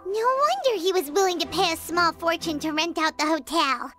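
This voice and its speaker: A very high, childlike little fairy chatters inquisitively. The squeaky voice has an anime game style, with clear background music. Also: female voice, expressive, normal speed, fairly bright tone. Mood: chatty, knowing.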